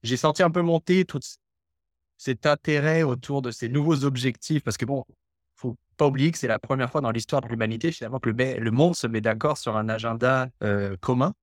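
A man talks in a podcast interview, stopping often to restart his sentences. His voice sits at an ordinary pitch. The recording is dry and close. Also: a little fast, no echo. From Canada (Quebec). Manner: hesitant, many fillers and restarts. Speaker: guest, development researcher.

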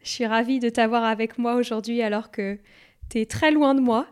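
A woman hosting a podcast, reading a clear intro and asking questions in a measured way. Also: fairly high pitch, steady tone. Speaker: podcast host. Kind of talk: scripted intro then interview questions.

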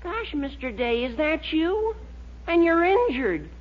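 A man's voice: very high voice, very animated, slow, heavily acted. An actor playing an earnest, naive male insurance man in a 1940s radio comedy, with an American accent. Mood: astonished, dismayed. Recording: muffled old radio recording, faint hiss, clicks.

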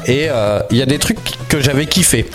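A man's voice: medium pitch, brisk, over music. A man with a cold, chatting casually and rambling about films and cartoons as podcast co-host. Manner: lively, rambling, slangy. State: has a cold.

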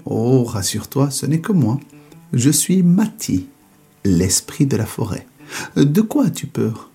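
A man voicing a forest spirit with a deep, slow, soothing voice. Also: sing-song, mellow, slightly rough, soft background music, full character voice. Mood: calm.

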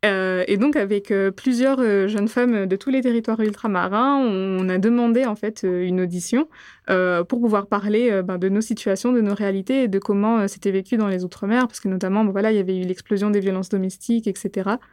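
A young woman from Réunion, whose first language is Gujarati, answering interview questions thoughtfully with many pauses and fillers. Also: mid-range voice, fairly even intonation, darkish tone, clean tone, dry recording. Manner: thoughtful, frequent hesitations. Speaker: guest.

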